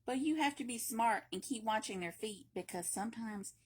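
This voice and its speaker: A woman reading a children's picture book aloud in a playful, expressive way, with emphatic repetition and chatty asides. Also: fairly high pitch, normal speed, breathy edge. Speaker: library read-aloud reader.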